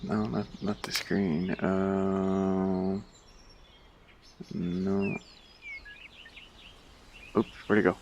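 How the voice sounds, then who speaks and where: A man plays a game and comments casually as he goes, thinking aloud in a puzzled mutter. His speech is slow and creaky, at a middling pitch. There is faint noise in the background. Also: flat delivery, darkish tone. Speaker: gamer playing and commenting on a game.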